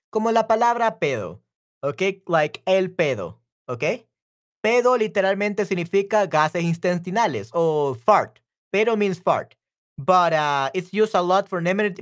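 A voice actor with a Salvadoran accent giving a casual language lesson, chatty and repeating words slowly, often checking in with the listener. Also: medium pitch. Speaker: Spanish teacher and voice actor. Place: room with some echo.

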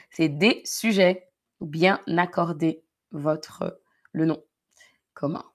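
A woman from the Paris region with a Parisian accent leads a live-streamed French lesson. She speaks slowly in a fairly low voice. She explains carefully and repeats the key words for her students. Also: slightly rough. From Paris region, France.

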